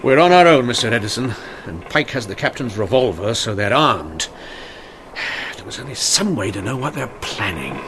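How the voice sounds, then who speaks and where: An actor playing a measured, dryly witty Victorian police inspector in a radio mystery drama. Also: male voice, medium pitch, very animated, a crowd in the background, natural voice. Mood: grave, urgent.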